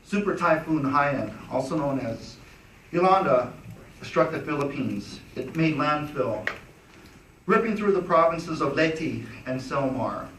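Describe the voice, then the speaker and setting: A man hosting a press conference, delivering formal prepared remarks in a measured way. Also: a little high, lively intonation, fairly bright tone, a little hiss or hum. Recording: echoey room, distant mic.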